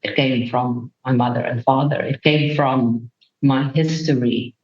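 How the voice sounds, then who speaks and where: A woman speaks as an interview guest in an earnest, measured way. Her voice carries a noticeable room echo. The recording sounds a little muffled and lo-fi. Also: rumbling low, fairly even intonation. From Namibia. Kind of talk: reflective interview answer. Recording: roomy, dull remote recording.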